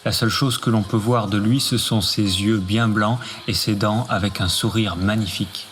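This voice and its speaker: A man's voice: lowish voice, fairly even intonation, moderate pace, a bit bright. A man delivering a scripted, poetic radio monologue with music behind him. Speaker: narrator.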